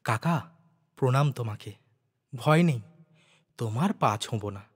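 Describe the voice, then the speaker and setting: Man acting earnest young woman, once outcast, soft solemn heartfelt delivery, resolute, storybook reading. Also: mid-range voice, lively intonation, measured pace, breathy edge, long reverb tail, pitched below the performer's own voice. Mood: gentle, resolute.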